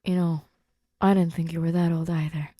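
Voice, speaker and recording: A woman's voice: sits low, little pitch movement, normal speed, dark tone, no echo. A woman playing a brooding, terse young man in his twenties, a veteran of space. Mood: friendly.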